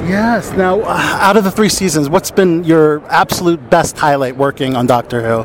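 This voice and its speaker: An upbeat interviewer asks questions at a medium pitch, chiming in with eager affirmations. Faint traffic noise hums in the background of the outdoor recording. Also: moderate pace. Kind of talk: casual convention interview.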